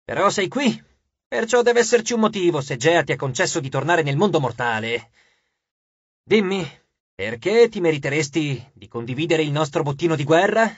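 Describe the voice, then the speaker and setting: Man doing a ghost villain, domineering, raspy, loud, dry. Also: a little high, animated, moderate pace, no room sound, highs cut off. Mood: mocking. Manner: mocking, domineering. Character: scornful ghost suitor, sharp-toothed, yellow-eyed man.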